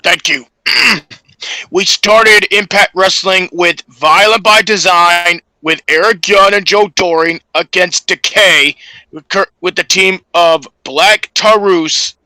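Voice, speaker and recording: Very bright, slow-paced male podcast co-host. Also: high-pitched, no echo, rough recording. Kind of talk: casual wrestling match recap.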